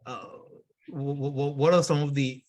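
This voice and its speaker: A man's voice: mid-range voice, fairly even intonation, moderate pace, a little mellow. A man hosting an interactive online workshop over a video call, chatty, with stammered restarts and easy laughter. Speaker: workshop host.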